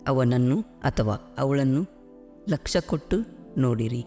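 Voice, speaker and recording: A man guiding a visualization meditation in a slow, soothing way with deliberate pauses. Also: mid-range voice, animated, mellow. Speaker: meditation guide. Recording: music behind the voice. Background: clear background music.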